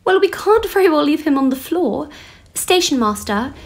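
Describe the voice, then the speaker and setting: A woman playing a brisk, self-assured upper-class British widow in a radio play, kindly but bossy. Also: high voice, big pitch swings. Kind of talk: 1930s-set radio play acting.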